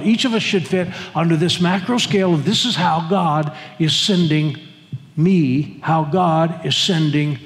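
An older man preaches to his congregation with a somewhat high voice, earnest and insistent, often repeating his phrases. His words ring out with a long reverb through the church sanctuary. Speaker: pastor. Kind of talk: sermon.

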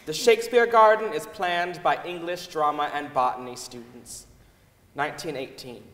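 A man's voice: on the high side, moderate pace, a bit bright. Young male narrator in echoey hall. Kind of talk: scripted narration at a staged reading. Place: large wooden concert hall stage.

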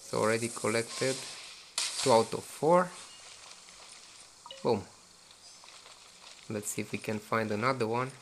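A man hosting a casual gameplay walkthrough, low-key and halting, sometimes repeating himself. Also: steady tone, creaky, slight background noise. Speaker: gameplay video host. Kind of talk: casual game walkthrough commentary.

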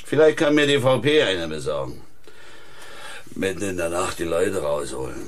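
A man's voice: sits low, lively intonation, normal speed. An actor playing a surly, defensive man roused from sleep, in a German radio crime drama. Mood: grumpy, evasive.

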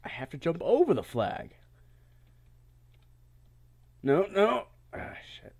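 A man casually commentating a game, congested, laughing often and yelling when he fails. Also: fairly high pitch, huge pitch swings, slow pace, gravelly, slightly rough, phone-quality sound. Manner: laughs often, yells at failures. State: congested, stuffy-sounding. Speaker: gameplay commentator. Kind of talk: casual let's-play commentary.

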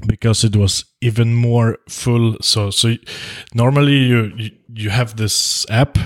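A man's voice: medium pitch, fairly even intonation, a little slow. A man with a German accent hosting a podcast, chatting casually and often restarting his words. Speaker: podcast host. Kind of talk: casual chat. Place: small office room indoors.

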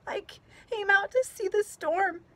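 A woman's voice: extremely high pitch, a little slow, bright, forceful tone, voice pushed up, full character voice. A woman voicing an earnest, eager young girl in a picture book read-aloud. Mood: upset. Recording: clear outdoor background noise.

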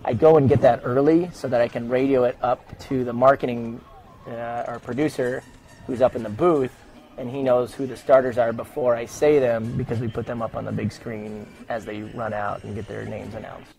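A man's voice: medium pitch, flat delivery, normal speed, vocal fry, a little mellow, rough recording. Middle-aged American man, conversational storyteller. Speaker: sports public address announcer being interviewed. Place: open indoor lobby studio at a desk.

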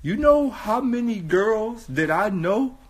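A hoarse man talks into a phone held at arm's length in a small indoor room. His voice sits fairly high and swings widely in pitch, with a husky edge. He speaks slowly and earnestly, repeating his points. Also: lively intonation. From Watts, California. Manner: earnest, repetitive, building to raised voice. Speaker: vlogger. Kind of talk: casual selfie monologue.

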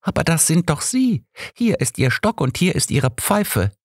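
An eager little girl , voiced by a male reader in an audiobook. The pitch is pushed up and lively, with an earnest, innocent insistence. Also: a little slow, slightly rough, no echo, clean studio sound, full character voice. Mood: protesting. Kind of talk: audiobook character reading.

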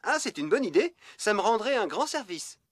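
Easygoing, helpful young farmhand. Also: male voice, high-pitched, big pitch swings, moderate pace, a bit bright, no echo, clean studio sound, exaggerated voice. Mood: calm. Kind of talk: French children's cartoon dubbing.

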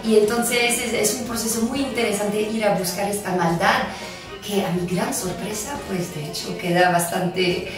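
Woman with a French accent, measured and thoughtful, heavy room echo, mic far off. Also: medium pitch, music in the background. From France. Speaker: musical theatre actress. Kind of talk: casual interview chat. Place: office room with glass wall, seated on chairs. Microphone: far camera mic.

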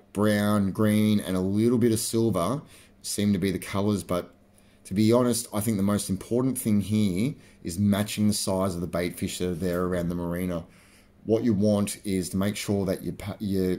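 A man in his thirties with an Australian accent, chatting casually to camera about fishing lures, relaxed with frequent fillers. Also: on the low side. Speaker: fishing vlogger. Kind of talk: casual explanatory talk to camera. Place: indoors by a garage door.

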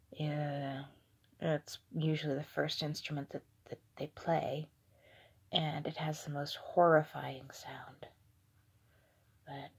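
A young adult woman giving a whispered, halting ASMR monologue in a breathy voice, recorded indoors on a phone held at arm's length. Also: low-pitched, a little mellow. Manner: slow, hesitant, halting. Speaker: ASMR video creator. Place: small indoor room with curtains.

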